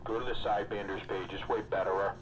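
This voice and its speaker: A man's voice: lowish voice, steady tone, fast talker. Talkative joking man on radio chat. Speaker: regular on a CB radio stream. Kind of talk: casual chat.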